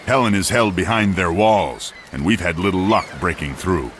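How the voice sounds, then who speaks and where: An actor playing a battle-hardened Greek warrior in a video game, gruff, blunt and comradely. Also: male voice, low voice, normal speed, fairly bright tone, slight background noise. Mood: frustrated. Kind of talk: video game cutscene acting.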